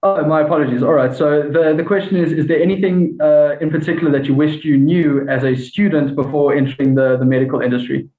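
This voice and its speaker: A man moderates a panel politely, hesitating often and filling pauses. His audio is thin and phone-like, with a roomy echo around it. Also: on the high side, fairly even intonation. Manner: hesitant, polite, many fillers. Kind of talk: hosting an online panel. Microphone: video call. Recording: tinny, echoey call audio.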